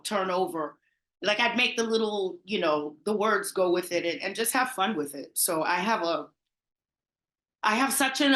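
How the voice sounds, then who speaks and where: A woman's voice: fairly low pitch, echoey room. Chatty Bronx woman telling party stories. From the Bronx, New York. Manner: animated, chatty, laughs often, trailing restarts. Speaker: party promoter, interview guest. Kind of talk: casual interview storytelling.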